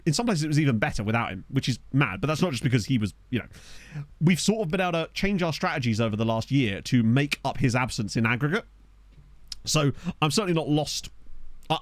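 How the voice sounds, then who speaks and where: A man's voice: mid-range voice, no room sound. Chatty man streaming a game. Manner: chatty, rambling, laughs often, reacts aloud to players. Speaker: gaming streamer. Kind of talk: casual gameplay commentary. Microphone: desk microphone.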